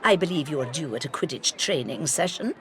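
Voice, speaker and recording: A woman playing a brisk, stern but kindly older teacher in a video game, clipped and proper. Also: low voice, expressive, fairly bright tone, a faint crowd. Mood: brisk, encouraging.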